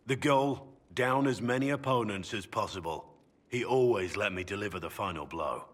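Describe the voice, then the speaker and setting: A man playing a brooding, honourable middle-aged warrior in a video game, with measured, earnest delivery. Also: lowish voice, fairly bright tone. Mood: animated, nostalgic. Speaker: video game character voice actor. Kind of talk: dramatic game dialogue.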